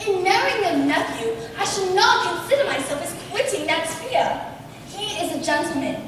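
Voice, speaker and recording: Proud young gentlewoman played by a woman, high voice, formal quick-witted replies, period stage, very echoey. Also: expressive, noisy background. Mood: proud, firm. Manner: crisp formal retorts. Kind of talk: period stage play acting. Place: theatre stage. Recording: echoey stage recorded from far off.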